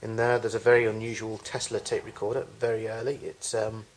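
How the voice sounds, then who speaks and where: A man's voice: fairly low pitch, completely flat delivery, a little fast, slight background noise, low-quality recording. A man giving an unscripted tour of his collection in a home video, recorded in a garage on amateur camcorder audio.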